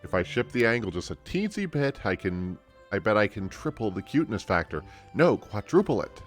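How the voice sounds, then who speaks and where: A man voicing an eager, sweet young elf woman in a fantasy game, excitable and earnest. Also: lowish voice, fairly quick. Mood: excited, startled. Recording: game music behind the voice.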